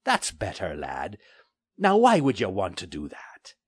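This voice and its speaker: A man's voice: huge pitch swings, unhurried, slightly rough, no echo, clean studio sound, exaggerated voice. A man voicing a bulky, scheming male pirate with a growling, sneering pirate dialect, in a storybook reading. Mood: mocking. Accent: old-style pirate dialect. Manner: jumps between high mocking lilts and low growls.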